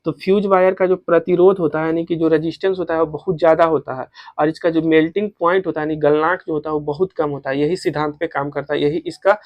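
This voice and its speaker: Higher-voiced Bihari man teaching. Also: fairly even intonation, fast talker, hardly any pauses, no room sound, dull audio. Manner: repeats questions and answers for memorization, mixes in English terms. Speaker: exam coaching teacher. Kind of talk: tutorial explanation of exam questions.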